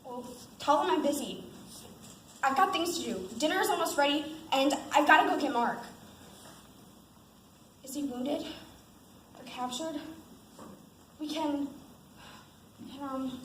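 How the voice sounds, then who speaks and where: A woman's voice: sits high, a little slow, long pauses, fairly bright tone. A woman acting as an anxious, fretful young army wife and mother in an amateur stage drama. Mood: busy, worried. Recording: echoey stage, faint background noise.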